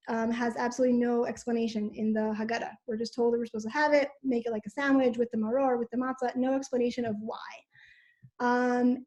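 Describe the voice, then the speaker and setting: A woman's voice: high voice, warm tone. Woman teacher, talking casually. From Israel. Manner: informal, explanatory, many um fillers. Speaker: middle school teacher. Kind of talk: presentation on a video call. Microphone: video call.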